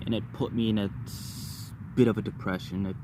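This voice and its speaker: Rambling man over clear background noise. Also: lowish voice, steady tone, a little fast. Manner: rambling, repeats words and phrases in bursts. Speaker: vlogger. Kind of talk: casual personal storytelling to viewers.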